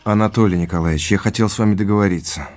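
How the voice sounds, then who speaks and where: An actor playing an earnest, inquisitive businessman in a naturalistic audio drama, polite and measured in conversation. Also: on the low side, darkish tone, natural voice. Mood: businesslike. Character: earnest, inquisitive businessman tracing his family's past.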